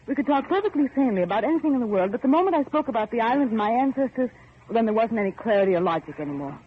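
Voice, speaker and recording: An anxious young heiress played by a woman, with a high voice that swings widely in pitch. She is insistent, pleading and melodramatic in the old radio horror manner. The sound is narrow and muffled, like an aged broadcast. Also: expressive, racing, slight background noise, natural voice. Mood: pleading, exasperated. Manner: pleading, urgent, melodramatic questioning. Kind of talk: 1940s radio horror acting.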